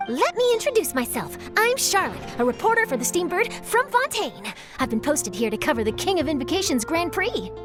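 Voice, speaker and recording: Perky nosy young reporter girl, really high n lively, anime game, music behind. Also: fairly quick, hardly any pauses. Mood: perky, introducing herself. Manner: keen, theatrical, self-assured. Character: eager, nosy young female newspaper reporter, confident and perky. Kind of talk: anime-style video game voice acting.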